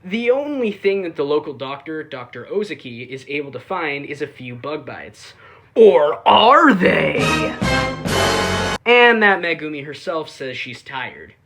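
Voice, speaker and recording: Casual review narrator, middle-pitched and highly animated, sardonic and dryly joking in delivery. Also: measured pace, soft background music. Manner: sarcastic asides and dry jokes.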